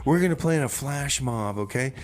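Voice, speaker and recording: A man's voice: mid-range voice, animated, normal speed. A man hosting a music radio show in a casual style, with comic banter.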